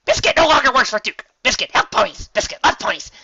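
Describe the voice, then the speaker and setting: A woman voicing an excitable small male dog who talks about himself in broken third person. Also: fairly high pitch, fast talker, slightly rough, no room sound, dull audio, voice pushed up, full character voice. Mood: excited. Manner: broken grammar, shouting.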